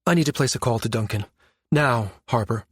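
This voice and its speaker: A man voicing a serious, quietly urgent woman scientist with a measured, firm manner in a science fiction audiobook. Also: medium pitch, slightly rough, no echo, studio quality, natural voice.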